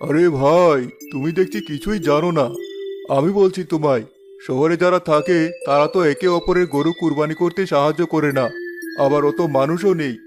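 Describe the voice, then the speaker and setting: A man's voice: a little high, normal speed, lightly acted. A man voicing a friendly, knowing village neighbour in a cartoon, chatty and persuasive. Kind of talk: cartoon dialogue dub. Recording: music under the voice.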